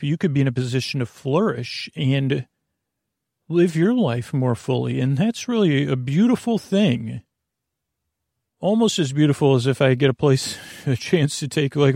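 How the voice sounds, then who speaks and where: A man with a creaky, gravelly, mid-pitched voice hosts a bedtime podcast in a close, dry recording. He wanders through his sentences, doubling back and trailing off, in a soothing and reassuring manner. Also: a little mellow, no room sound. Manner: meandering, halting, repeats and trails off mid-thought. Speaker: podcast host. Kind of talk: rambling bedtime sleep podcast intro.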